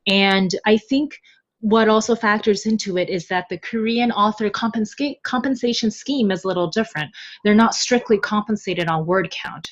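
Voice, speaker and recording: Female podcast guest, mid-pitched voice, casual explanatory chat, slightly dull low-fidelity recording. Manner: chatty, explanatory, informal. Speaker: podcast guest, web novel translator.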